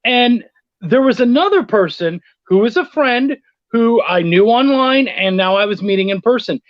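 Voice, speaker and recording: A man telling anecdotes as a guest in a casual chat, animated and swearing often. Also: very high voice, normal speed, no echo, highs cut off. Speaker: guest, freelance writer and editor.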